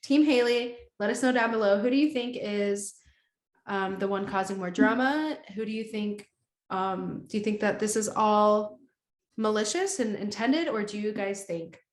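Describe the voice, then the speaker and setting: A young woman co-hosting a casual podcast, chatty and slangy, quick to laugh. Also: mid-range voice. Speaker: podcast co-host. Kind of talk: casual chat. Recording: echoey room.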